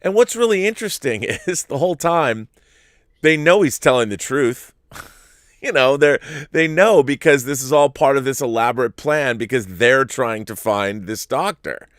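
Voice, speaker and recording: A man's voice: mid-range voice, lively intonation, moderate pace, a bit bright, no echo, studio quality. A man co-hosting a TV review show, chatting casually in a blunt, emphatic way. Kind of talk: casual opinionated chat.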